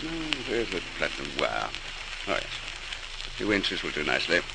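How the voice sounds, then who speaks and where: Earnest middle-aged scientist, 1940s radio drama, widely swinging pitch, hissy transcription. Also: male voice, huge pitch swings, normal speed, gravelly, full character voice. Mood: absorbed, musing. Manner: lecturing aloud, muttering to himself while working.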